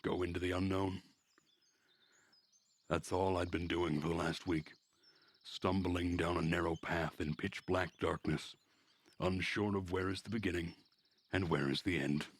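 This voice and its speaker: A man reads visual novel narration aloud in a measured, introspective manner. His voice sits very low and has a husky grain. The sound is close and dry, with no room on it. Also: fairly even intonation, no room sound. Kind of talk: narration of a story read aloud.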